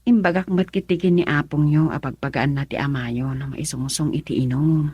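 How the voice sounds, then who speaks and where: A woman's voice: low-pitched, fairly even intonation, moderate pace, mellow, breathy edge, no echo, highs cut off. A woman acting as an emotional wife and mother in an Ilocano radio drama. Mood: tender, sad.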